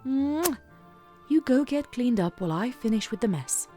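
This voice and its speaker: Composed, loving female pony for audio drama, played by a woman: higher-set, expressive pitch, matter-of-fact delivery. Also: moderate pace, lightly acted. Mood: calm, affectionate. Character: Octavia, composed affectionate female cartoon pony. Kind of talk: fan fiction audio drama acting. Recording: music behind the voice.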